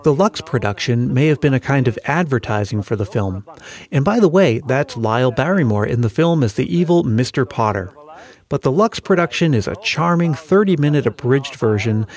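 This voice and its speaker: A man's voice: mid-range voice, lively intonation, brisk, a little mellow. An American man narrating a scripted podcast as its host. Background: faint music.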